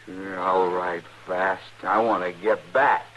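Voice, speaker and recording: An actor playing a slow-witted, sullen, menacing backwoods son with simple, drawling speech in an old-time radio western. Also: male voice, low voice, steady tone, fairly bright tone. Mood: sullen, whiny. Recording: noisy old radio recording.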